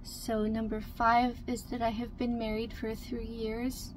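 A young woman in her twenties chats to the camera from a small camper, soft-spoken and easygoing, with lots of ums as she checks her notes. Her voice sits a little high and stays quite even in tone. A faint hiss lies under the close recording. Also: a little slow. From Canada. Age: 20s. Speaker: vlogger. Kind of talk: casual get-to-know-me talk to camera. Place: small room inside a camper. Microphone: camera in front at close range.

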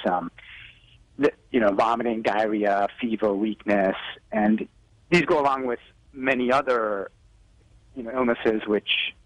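A man's voice: mid-range voice, no room sound, rough recording. A man speaking as a doctor in a live telephone news interview, explaining health advice and often saying "you know". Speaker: physician guest expert on a news segment. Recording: telephone interview audio on TV broadcast.

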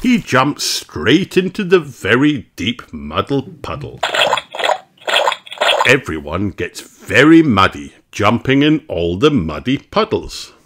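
A man reading a children's storybook aloud in an expressive narration. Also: mid-range voice, slow pace, very noisy. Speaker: storybook reader.

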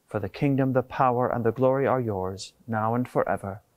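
A middle-aged man with a medium-pitched voice leads a prayer as deacon. His recitation is even and reverent, spoken into a lapel mic in church. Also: a little fast. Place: inside a stone church beside a lectern.

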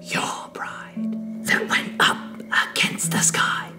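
A performer voicing a solemn, ominous prophet to a king in an audio play, in a breathy, incantatory whisper. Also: takes it slowly, over loud music, natural voice. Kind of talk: dramatic audio play acting.

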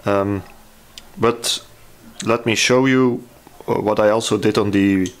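A man with a fairly low voice gives a casual workbench walkthrough. He speaks hesitantly, thinking aloud as he explains what he is doing. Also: fairly even intonation, takes it slowly. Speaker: hobby radio restorer presenting a repair. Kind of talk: casual hands-on repair walkthrough.

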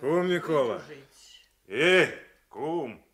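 Village neighbour, fairly high male voice, earnest, sighing, blunt, folksy scolding, radio play. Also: animated, extremely slow, a crowd in the background. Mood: cautious, frank. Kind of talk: Russian radio drama acting.

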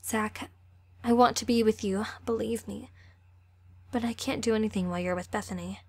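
Earnest, careful teen girl played by a woman, higher pitched, for an amateur audio drama romance. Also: fairly even intonation, normal speed, a little mellow, no room sound. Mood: earnest, hesitant. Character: earnest, cautious sixteen-year-old girl in love.

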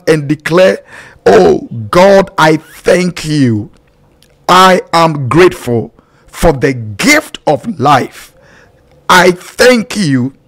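Middle aged guy preaching, kinda high voice, fervent prayer stuff, short punchy phrases he repeats. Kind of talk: sermon and led prayer. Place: small dark studio, indoors. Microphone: close boom studio microphone with headphones.

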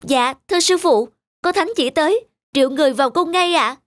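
Woman voicing an eager high-voiced young apprentice. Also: a little fast, no echo, studio quality, close to an everyday voice. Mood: deferential. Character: young male carpenter's apprentice, deferential, eager. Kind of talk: cartoon folk-tale dubbing. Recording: music behind the voice.

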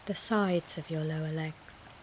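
A woman with a slightly low voice guides a mindfulness relaxation session. She speaks gently and soothingly, in short measured phrases with pauses between them. There is clear background noise on the recording. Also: steady tone. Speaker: wellness coach guiding a relaxation session.

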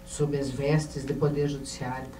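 Low, mellow Brazilian woman in echoey hall. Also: flat delivery. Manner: measured, ceremonious. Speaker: panel member. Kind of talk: formal tribute speech. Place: indoor auditorium panel table. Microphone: handheld mic, recorded by a distant camera. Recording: echoey, noisy hall from far off.

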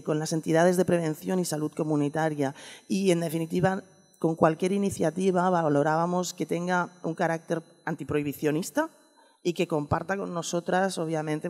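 A woman's voice: low voice, a little mellow. A woman with a Spanish accent giving a prepared talk at a conference panel, earnest, with repeated words. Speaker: panel speaker. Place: conference hall stage. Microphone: table microphone. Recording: echoey conference hall.

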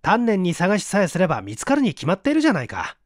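A man's voice: high-pitched, huge pitch swings, fast talker, dry recording, studio quality. A man voicing a dismissive, skeptical young man in a storybook reading, scoffing and unimpressed.

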